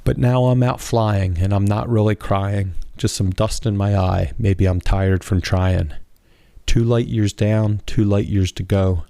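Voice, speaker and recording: A man's voice: lowish voice, fairly even intonation, measured pace, gravelly, warm tone, no room sound. A man reading his own rhymed spoken-word verse with a rhythmic, rhyme-driven delivery. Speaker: author and poet reading own work.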